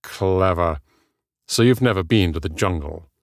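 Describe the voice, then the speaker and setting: A man voicing a boisterous, elegant British gentleman in an audiobook, with a smooth, theatrical, effusive delivery. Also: low voice, unhurried, dry recording, studio quality. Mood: boastful, teasing.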